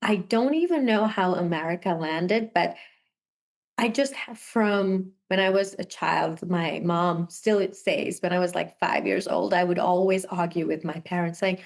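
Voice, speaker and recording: A woman's voice: lowish voice, unhurried, gravelly. A young woman from Sri Lanka, a guest talking over a video call, telling her story earnestly and laughing often. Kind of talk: casual interview conversation.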